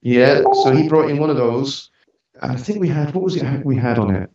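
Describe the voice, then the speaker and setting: A man's voice: mid-range voice, moderate pace, darkish tone. Echoey, mixed-accent Scottish man, chatting casually. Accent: mixed Scottish British. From Scotland, grew up on the road around the UK. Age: 40s. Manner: chatty, informal, lightly joking. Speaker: interview guest, musician and studio owner. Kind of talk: casual conversational storytelling. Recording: echoey room, distant mic, dull sound.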